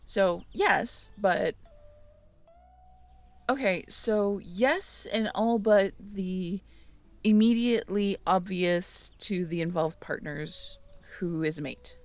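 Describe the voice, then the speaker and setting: A young woman in an intimate audio roleplay, talking softly to the listener, hesitant and stammering. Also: medium pitch, slow, no echo, faint music behind. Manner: hesitant, stammering, soft-spoken. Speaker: audio drama performer speaking to the listener.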